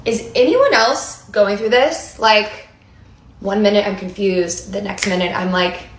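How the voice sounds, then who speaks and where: A woman's voice: medium pitch, animated, unhurried, a little hiss or hum. Chatty woman in an echoey room. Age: 30s. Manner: chatty, rambling lists with bursts of speed. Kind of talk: casual video confessional.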